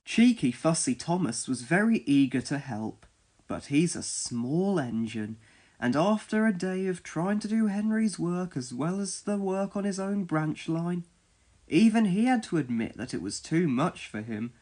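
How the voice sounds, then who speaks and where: Male storyteller, high voice, very animated intonation, expressive children's read-along narration. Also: a little slow.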